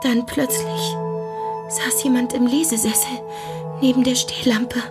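A high-voiced, overwrought nun in a radio play, acted by a woman. She speaks slowly and haltingly, as if close to swooning, with dramatic agitation. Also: steady tone, over music, natural voice. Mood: hushed, uneasy. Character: overwrought, swooning deaconess nun giving police testimony. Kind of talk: German radio drama acting.

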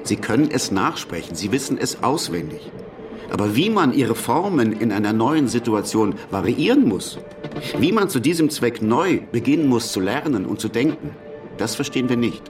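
A man's voice: medium pitch, sing-song, moderate pace, close to an everyday voice. An actor playing a didactic, exacting male revolutionary leader in a radio drama, lecturing precisely. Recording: distant voice under loud music.

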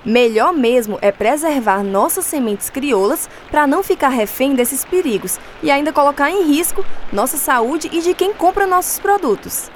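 A woman's voice: high voice, expressive, moderate pace, hardly any pauses, a little hiss or hum. A woman playing an outspoken, savvy farmer in a radio drama, with a Northeastern Brazilian accent and a folksy, emphatic delivery. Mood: emphatic warning. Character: savvy, outspoken rural farmer woman named Rosa, explaining firmly.

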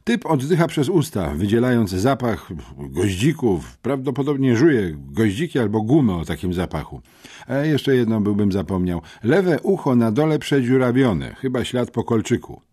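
Mężczyzna grający rezolutnego, drobiazgowego uczniaka-detektywa. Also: male voice, medium pitch, big pitch swings, moderate pace, warm tone, husky, pitched above the performer's own voice. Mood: pedantic, matter-of-fact. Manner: meticulous, matter-of-fact, eager. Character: earnest, pedantic, talkative schoolboy amateur detective. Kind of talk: audiobook character reading.

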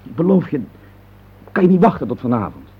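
A man's voice: fairly high pitch, big pitch swings, a little fast, husky, full character voice. An actor playing an impatient, evasive middle-aged husband and office man in a radio play, grumbling and dismissive. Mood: harried, placating. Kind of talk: naturalistic Dutch radio play acting. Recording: muffled old radio play recording.

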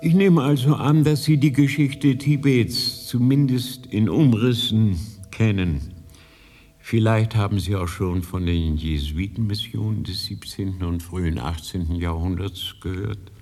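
An actor playing an ancient, frail High Lama in a radio drama, soft and courteous with a slightly melancholic manner. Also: male voice, unhurried. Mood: gentle, confiding. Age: older. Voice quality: soothingly soft. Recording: long hall reverb.

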